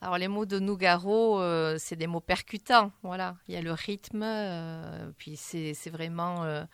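A woman with a lowish, smooth voice talks through her answers in a radio interview. She hesitates often and restarts her sentences. The studio sound is close and dry. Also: no echo. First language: French. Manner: halting, many fillers and restarts. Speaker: singer, interview guest.

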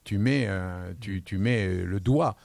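A male radio host with a fairly low voice, chatty and teasing as he questions his guest. The sound is dry and close to the mic, with no room around it. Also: creaky, a little mellow, dry recording. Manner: chatty, joking, quick to interject. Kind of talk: radio interview.

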